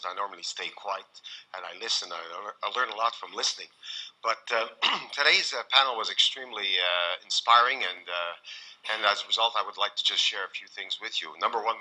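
A man from Canada rises from the audience in a large lecture hall and speaks earnestly, stopping often on fillers. His voice is bright and slightly low. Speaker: audience member asking a question. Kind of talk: public remarks at a forum.

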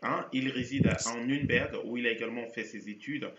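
A man's voice: mid-range voice, a little fast. A middle-aged man hosting a studio talk show, introducing guests with frequent hesitations and restarts. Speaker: TV show host. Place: TV studio set with virtual backdrop. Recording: roomy, dull sound with faint hiss.

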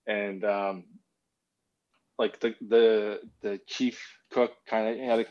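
A man's voice: on the low side, flat delivery, takes it slowly, a little mellow. A man in his thirties chatting casually in a rambling, sweary way over a video call from home. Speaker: podcast co-host. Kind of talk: casual chat. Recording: slightly dull lo-fi call audio.

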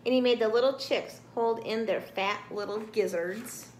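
A woman's voice: fairly high pitch, normal speed. A middle-aged woman reading a storybook aloud to children expressively, recorded in a small room. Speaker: storybook reader. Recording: roomy, some background noise.

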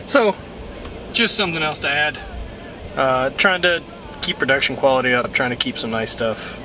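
A man's voice: mid-range voice, normal speed, soft background music. A young man with a Texan accent talking casually to a dashboard camera from inside his pickup truck, rambling with lots of fillers. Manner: relaxed, rambling, many fillers. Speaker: vlogger. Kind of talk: casual vlog talk to camera. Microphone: camera mounted on the dashboard.

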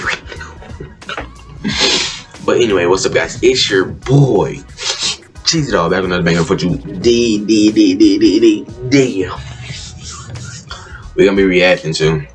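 A young man hosting a reaction video from his bedroom, laid-back and chuckling, with a groggy just-woke-up morning voice. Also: low voice. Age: young adult. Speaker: reaction channel host. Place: bedroom, indoors.

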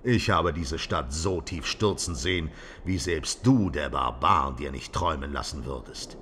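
A man's voice: extremely low pitch, a little slow, fairly bright tone, a little hiss or hum, pitched below the performer's own voice. A man voicing a mysterious, grim and passionate stranger in an audiobook, with a powerful, resonant voice. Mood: grim, bitter. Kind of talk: audiobook character acting.

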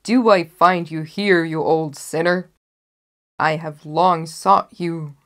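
A woman's voice: sits low, steady tone, slow pace, a bit bright. A woman voicing a stern, watchful huntsman in a storybook reading, bold and declaiming. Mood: stern triumph.

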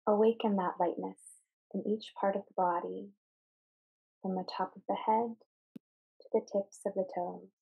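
A woman leading a guided meditation in a calm, soothing, soft voice, speaking in short phrases with pauses between them. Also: medium pitch, vocal fry, breathy edge, lo-fi sound.

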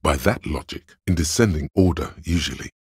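An actor playing a calm, analytical inner voice of logic in a video game, gravelly and husky, speaking in a dry, measured, knowing way. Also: male voice, extremely low pitch, no room sound, exaggerated voice. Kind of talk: video game voice-over narration.

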